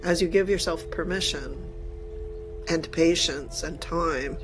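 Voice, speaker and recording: A woman leading a channeled guided meditation in deliberate, halting short phrases with formal wording. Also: low-pitched, music in the background, poor audio.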